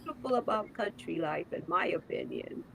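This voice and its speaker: A woman's voice: fairly low pitch, animated, moderate pace, vocal fry, crowd noise, rough recording. A woman hosting a podcast in casual conversation, speaking earnestly and stressing her points, often repeating words as she builds up to them. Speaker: podcast host.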